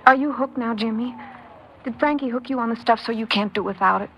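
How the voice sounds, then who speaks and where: A woman's voice: a little high, brisk, fairly bright tone, slight background noise. An actress playing an anxious, devoted young woman in an old crime radio drama, with an American accent and an earnest, pleading, emotional delivery. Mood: pleading, worried. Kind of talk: mid-century American crime radio drama acting, confessional narration and dialogue.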